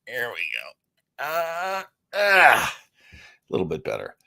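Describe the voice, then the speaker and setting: A man's voice: medium pitch, huge pitch swings, takes it slowly, gravelly, sharp tone. A man hosting a casual show, rambling, joking at his own expense and interrupting himself when distracted. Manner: jokey, self-deprecating. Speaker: host. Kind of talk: casual live show chat.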